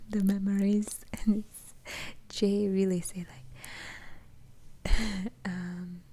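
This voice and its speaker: A woman's voice: mid-range voice, little pitch movement, very slow, mellow. A woman whispering close to the mic in a casual ASMR chat, giggling often and rambling with fillers.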